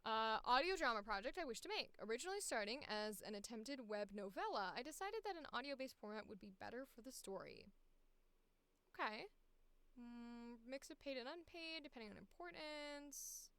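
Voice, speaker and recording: Woman, casual streamer, fairly high animated voice, clear with some creak, reading casting calls, musing aloud, dry close sound. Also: moderate pace, no echo. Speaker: voice actor streaming auditions.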